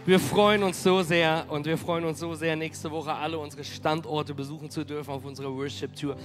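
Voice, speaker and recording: A man preaching as pastor, energetic and rallying his congregation, with a cold that makes him hoarse. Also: on the high side, normal speed, faint music behind. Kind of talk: sermon. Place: church hall.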